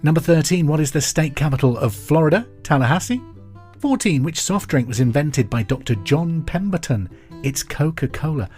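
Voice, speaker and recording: A middle-aged man hosting a pub quiz podcast, reading out trivia questions and answers in a clear, deliberate way. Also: a little high, expressive, a little mellow. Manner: clear deliberate delivery, repeats each question. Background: music bed.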